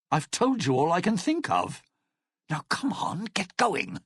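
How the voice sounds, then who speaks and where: Raspy old lounge singer for a game, wry and scheming, slow talker, pitch swings all over. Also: male voice, no room sound, studio quality, heavily acted. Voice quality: gravelly, raspy. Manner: theatrical, wry, sardonic showman delivery. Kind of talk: video game character acting.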